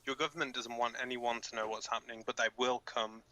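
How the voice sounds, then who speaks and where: A man's voice: medium pitch, level tone, quick pace, pushed and bright, natural voice. A man playing a blunt, cynical resistance fighter co-hosting an underground broadcast in a radio drama, speaking informally. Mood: grim warning.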